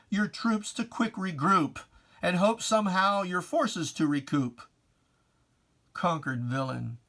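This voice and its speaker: Male poetry reader, bright forceful voice, slow measured pacing, theatrical emphasis, religious verse recital. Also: sits high. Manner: declamatory, emphatic, theatrical delivery. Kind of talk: dramatic reading of religious verse.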